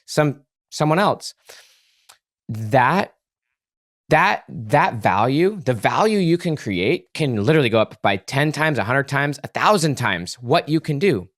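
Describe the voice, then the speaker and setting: Male podcast guest, average pitch, conversational expert explainer, earnest and emphatic, dry sound. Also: very animated, measured pace, fairly bright tone, no room sound. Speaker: podcast guest, author and psychologist.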